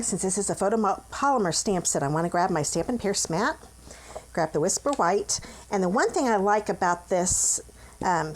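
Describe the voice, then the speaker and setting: A middle-aged woman from the United States, hosting a chatty, upbeat craft tutorial from her home office and talking while she works. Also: medium pitch. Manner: chatty, upbeat, talks while working with hands. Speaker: craft demonstrator and channel host. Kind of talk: friendly step-by-step craft tutorial.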